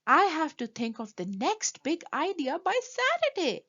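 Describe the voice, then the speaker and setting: Dramatic small-town baker man read by a woman: grand declarations, higher register, animated, for a picture-book reading. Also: unhurried, muffled recording, heavily acted. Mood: desperate.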